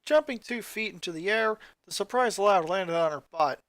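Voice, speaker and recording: A narrator reading scripted fan fiction aloud in an expressive storytelling style. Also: mid-range voice, normal speed, dry recording.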